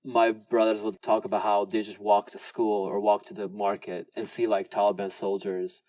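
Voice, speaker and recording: Young man, low voice, mic far off. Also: level tone, normal speed, warm tone, no room sound. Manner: loose, lots of "like". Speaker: interviewee. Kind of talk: casual interview. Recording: distant mic with music under.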